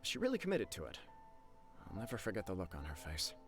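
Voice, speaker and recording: A devoted young warrior from a fantasy game. He speaks in a fairly low voice, earnest and courteous, running on at length. Light music sits underneath. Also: wildly swooping pitch, fast talker, a bit bright, heavily acted. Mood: fondly reminiscing. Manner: earnest, polite, long-winded. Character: earnest, lovestruck young warrior groom-to-be, polite and talkative. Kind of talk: game character acting. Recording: faint music behind the voice.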